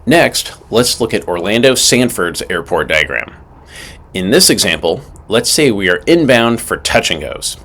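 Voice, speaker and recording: Male instructor, lower register, lively intonation, scripted and methodical teaching read with clear emphasis. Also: measured pace. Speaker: aviation instructor. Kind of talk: scripted instructional narration with acted radio call examples.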